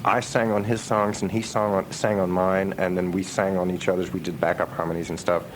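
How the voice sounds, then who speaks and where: Male interviewee, musician, low register, anecdotal and halting, on a noisy radio aircheck. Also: level tone. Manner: halting, pausing, trailing restarts. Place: radio interview with noise behind the voice.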